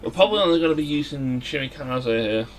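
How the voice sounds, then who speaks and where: A man giving casual, somewhat halting video game commentary as a let's play host. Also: on the high side, animated. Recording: music behind the voice. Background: game music.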